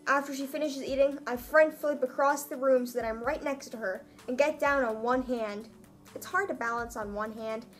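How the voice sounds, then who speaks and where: High-voiced young woman, mock-dramatic comedic reader. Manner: mock-dramatic, self-mocking. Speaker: vlogger reading her own old story aloud. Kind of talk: comedic read-aloud with casual asides.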